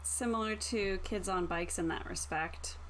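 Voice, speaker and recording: A woman's voice: lowish voice, a little slow, a loud crowd. A woman co-hosting a casual chat, speaking in an agreeable, supportive way. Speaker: co-host. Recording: clearly noisy recording with clicks.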